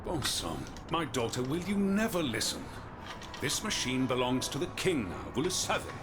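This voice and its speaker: Stern father with wildly swinging pitch. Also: huge pitch swings, moderate pace, noisy background. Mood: exasperated, stern. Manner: scolding, commanding. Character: stern, exasperated inventor father serving the king. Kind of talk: dramatic video game acting. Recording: music behind the voice.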